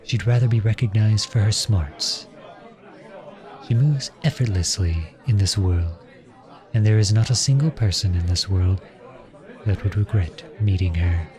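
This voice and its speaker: A man playing an earnest, lovestruck outlawed prince turned soldier in an audio roleplay drama, speaking softly and with measured pauses. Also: fairly low pitch, a faint crowd, close to an everyday voice. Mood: tender, wistful.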